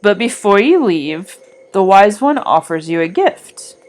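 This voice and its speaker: A woman reading a scripted guided meditation in a soothing, deliberate, gently instructive manner. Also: mid-range voice, sing-song. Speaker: meditation guide, art teacher.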